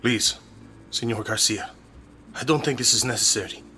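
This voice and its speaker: A man voicing a withdrawn young immigrant in an audio horror story, husky and hesitant. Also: medium pitch, normal speed, a crowd in the background, natural voice. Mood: nervous, pleading.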